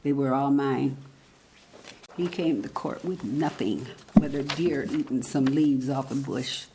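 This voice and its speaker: A woman in her eighties, speaking at a council meeting's public comment, halting and earnest as she searches for words. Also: rumbling low, background noise. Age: 80s. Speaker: resident giving public comment. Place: council chamber podium.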